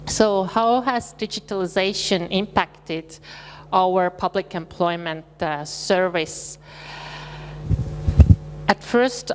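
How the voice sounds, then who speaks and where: A woman from Estonia with an Estonian accent, giving a conference presentation in a measured, slightly hesitant way. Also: fairly low pitch. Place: conference hall. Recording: reverberant hall with faint hum.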